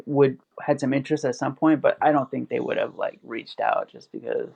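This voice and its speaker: Guy with a gravelly voice rambling about sports, dull lo-fi mic sound. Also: mid-range voice, fairly quick, a little mellow. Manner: rambling, many "like" fillers and restarts. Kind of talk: casual podcast chat. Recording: dull lo-fi audio.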